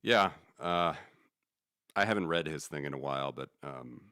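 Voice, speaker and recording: A man with an extremely deep voice talks through market ideas in a loose, rambling way, restarting phrases and giving blunt opinions. The sound is dry and close, with no room around it. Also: lively intonation, normal speed, bright, forceful tone, clean tone, no echo. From United States. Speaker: podcast host. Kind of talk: casual economics commentary.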